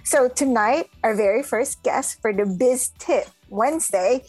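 A woman's voice: on the high side, measured pace, darkish tone, breathy edge, faint music behind. A woman hosting a live podcast interview, bubbly and emphatic with lots of fillers. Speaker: podcast host.

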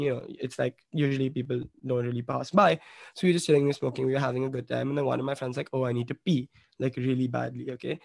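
A man's voice: mid-range voice, gravelly, a little mellow, dry recording. A young man from Bombay telling a story as a podcast guest, rambling and swearing, with lots of "like" fillers. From Bombay, India. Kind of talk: casual storytelling.

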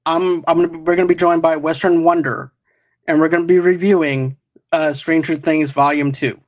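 A man's voice: a little high, steady tone, normal speed. A man hosting an online pop culture show in casual chat, talking in a rambling, repetitive way.

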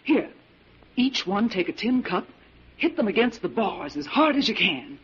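An actor playing a tense, resigned prisoner awaiting death, in dramatic 1940s radio style. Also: male voice, high voice, sing-song, moderate pace, breathy edge, full character voice. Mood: tense, bitter. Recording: muffled, hissy 1940s radio recording.

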